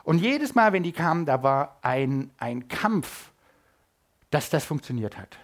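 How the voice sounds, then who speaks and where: A middle-aged man from Germany, preaching a sermon at a church service and swinging between loud emphasis and quiet asides. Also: a little high, very animated, a little slow.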